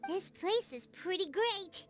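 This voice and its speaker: A tiny chirpy fairy companion squeaks along in a very high, bouncy voice. She chatters eagerly, her pitch leaping all over the place. Game music plays softly beneath her. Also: animated, takes it slowly, exaggerated voice. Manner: chirpy, eager, talks about herself in the third person. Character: tiny cheerful floating fairy companion, female. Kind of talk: video game voice acting.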